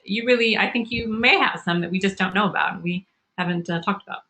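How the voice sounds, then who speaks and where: A woman's voice: medium pitch, quick pace, pushed and bright. A woman hosting a video call panel, posing curious, probing questions to a presenter. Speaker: host and moderator. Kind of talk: panel moderation.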